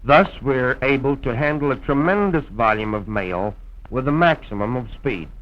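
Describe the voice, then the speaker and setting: An American man narrating a scripted promotional film in a formal, measured old-time radio announcer's style, on a vintage soundtrack. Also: mid-range voice, lively intonation, muffled recording. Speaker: radio program host and narrator.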